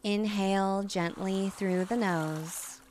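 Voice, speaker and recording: A woman hypnotist gently narrating a guided relaxation, soothing and unhurried, with long pauses between phrases. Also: medium pitch, steady tone, slight background noise.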